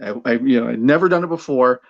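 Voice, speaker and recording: A middle-aged American man, a sports broadcaster, telling his career story conversationally in a candid, self-deprecating and halting way. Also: medium pitch, gravelly, muffled recording. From Buffalo, New York area, United States. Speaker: sports radio play-by-play broadcaster, interview guest.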